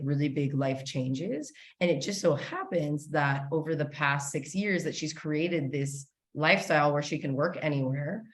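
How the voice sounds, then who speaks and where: A woman hosting a live online summit in a chatty, gushing, informal way. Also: sits low. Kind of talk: casual live interview hosting. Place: indoors, online video call.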